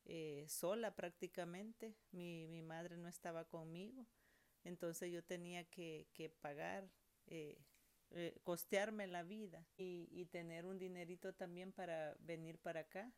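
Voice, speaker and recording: A middle-aged woman from El Salvador, telling her migration story to her daughter with many pauses and repeated words. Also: fairly low pitch, steady tone, darkish tone, clean tone. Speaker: interviewee, mother. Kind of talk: personal storytelling interview.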